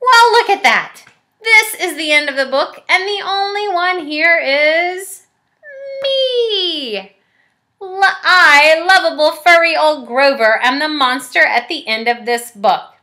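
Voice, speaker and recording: A woman's voice: high-pitched, expressive, slow pace, pushed and bright, voice pushed up, full character voice. A woman voicing a timid, lovable furry male storybook monster in a pleading, melodramatic manner. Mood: sheepish surprise. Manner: pleading, melodramatic, exaggerated emphasis.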